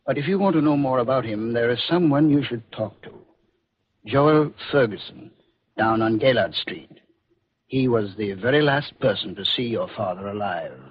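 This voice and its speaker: An actor playing a grave, reluctant elderly grandfather and doctor in a 1940s radio drama, speaking in a measured, solemn way. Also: mid-range voice, close to an everyday voice. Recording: dull, noisy 1940s radio recording.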